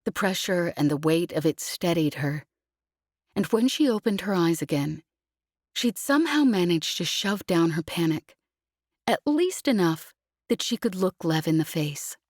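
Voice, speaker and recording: A woman narrates a novel in a low voice. She takes her time with every sentence, keeping a measured storytelling delivery. The sound is dry and close, with no room around it. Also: lively intonation, a little mellow, no room sound. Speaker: audiobook narrator. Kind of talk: scripted fiction narration.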